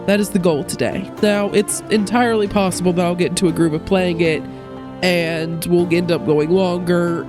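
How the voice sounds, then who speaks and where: A woman with a congested, recovering-from-a-cold voice, chatting casually as she plays a game and thinks aloud. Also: on the low side. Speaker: let's play host. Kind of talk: casual gameplay commentary.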